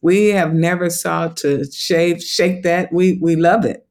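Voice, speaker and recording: A man from Chicago speaks with a Chicago accent that carries a Southern twang. His voice sits a little high, with a gravelly edge. He talks as a guest in an interview, thoughtful and enthusiastic, and laughs easily. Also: fairly even intonation, takes it slowly, darkish tone. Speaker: museum education director, guest. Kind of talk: conversational interview.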